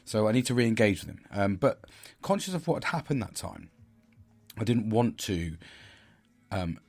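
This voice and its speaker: A middle-aged man from the United Kingdom with a husky voice that sits a little low. He hosts a casual solo podcast and talks hesitantly, with frequent ums and restarts. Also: sing-song, moderate pace, darkish tone. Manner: hesitant, many fillers and restarts. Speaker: podcast host.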